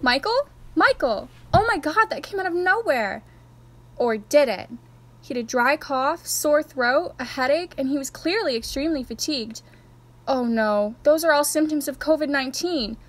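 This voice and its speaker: High-voiced melodramatic radio hostess played by a woman. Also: expressive, normal speed. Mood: panicked. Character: melodramatic, easily panicked female radio show host. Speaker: host in a radio play. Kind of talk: comic radio play acting.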